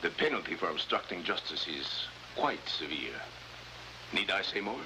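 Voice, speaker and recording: Low-voiced, stern police chief. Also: male voice, very animated, moderate pace, gravelly, exaggerated voice. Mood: cold, warning. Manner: formal, veiled menace. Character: proud, stern South American police chief. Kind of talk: 1950s American crime drama acting. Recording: noisy, hissy old 1950s drama soundtrack.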